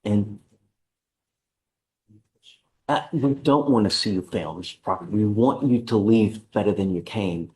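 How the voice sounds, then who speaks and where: A man speaking as a judge at a courtroom hearing, measured and deliberate, stern but patient. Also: fairly low pitch, a little mellow, a little hiss or hum.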